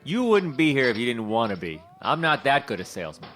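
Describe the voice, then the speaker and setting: An actor playing a folksy, fast-talking male Hollywood talent scout with a salesman's patter in an audio drama. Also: medium pitch, big pitch swings, fairly bright tone, soft background music.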